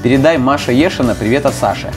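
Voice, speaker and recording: A man co-hosts a casual live stream and reads viewer messages aloud in a relaxed, easygoing way. Guitar music plays clearly in the background under his voice. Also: medium pitch, fast. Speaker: stream co-host. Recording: guitar music playing behind.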